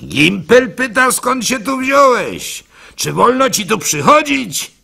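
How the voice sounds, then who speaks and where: A man voicing a furious, brazen wife who scolds and curses loudly in a radio play. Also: very high voice, sing-song, slow, vocal fry, voice pushed up, heavily acted. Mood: indignant. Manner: loud, cursing, hectoring.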